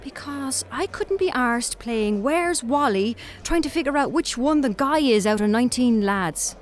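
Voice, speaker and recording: A woman's voice: high-pitched, lively intonation, a little hiss or hum. An actress with an Irish accent playing a frank, weary woman on a first date in an audio drama. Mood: wry. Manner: frank, dry, brisk. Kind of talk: naturalistic audio drama dialogue.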